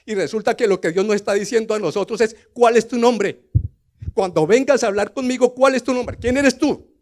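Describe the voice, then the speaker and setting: An older man with a Colombian accent preaches in a slightly high voice. He tells scripture as a dramatic story, dropping to quiet asides and rising to loud, emphatic declarations, often putting questions to his listeners. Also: a little fast. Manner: rhetorical questions to the congregation.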